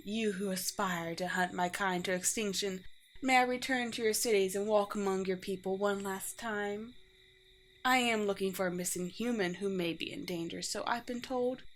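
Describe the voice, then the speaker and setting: A woman playing a courteous, teasing, world-weary vampire queen in a roleplay audio drama, with formal, old-fashioned and gently wry phrasing. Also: mid-range voice, close to an everyday voice. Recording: clear background noise with ambience.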